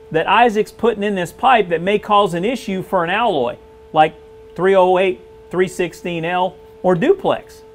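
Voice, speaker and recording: A middle-aged man, a weld test supervisor, explaining a visual weld inspection in a shop and often clearing his throat. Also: sits high. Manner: frequent throat clearing, plain-spoken explaining. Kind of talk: instructional demonstration. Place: indoor welding shop.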